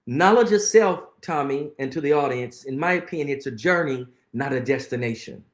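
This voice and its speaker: A man's voice: mid-range voice, lively intonation, brisk, roomy sound. A middle-aged man, a guest on an interview show, speaking earnestly and emphatically, often repeating phrases for weight. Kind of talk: conversational interview, reflective storytelling.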